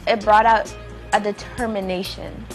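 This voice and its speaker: A woman's voice: mid-range voice, little pitch movement, measured pace, faint music behind. A woman speaking as an interview guest, explaining her thoughts earnestly in a conversational way. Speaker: guest, playwright and director.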